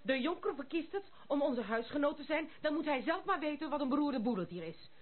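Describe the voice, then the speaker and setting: An actress playing an imperious, sharp-tongued young noblewoman in a radio drama, scolding and dropping in French phrases. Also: sits high, lively intonation, brisk. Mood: scornful, indignant. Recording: tinny, phone-like old radio recording.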